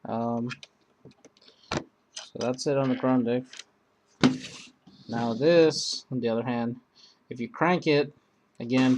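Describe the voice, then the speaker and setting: A man hosting a casual, unscripted product review, speaking in a halting, rambling way that often circles back on itself. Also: medium pitch, darkish tone, a little hiss or hum. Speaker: video host reviewing gear.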